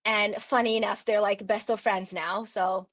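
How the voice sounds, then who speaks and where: A woman's voice: mid-range voice, steady tone, normal speed, fairly bright tone. Chatty woman from Turkey, podcast guest. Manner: chatty, many "like" fillers, laughs often. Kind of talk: casual conversational storytelling.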